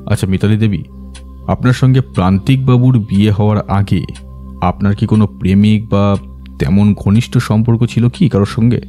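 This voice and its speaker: Radio mystery detective male actor, deep-leaning warm tone, unruffled, courteous interrogator. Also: fairly even intonation, faint music behind, close to an everyday voice. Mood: probing, composed. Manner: polite, measured, probing. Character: composed, courteous male private detective questioning witnesses. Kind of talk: scripted audio drama acting.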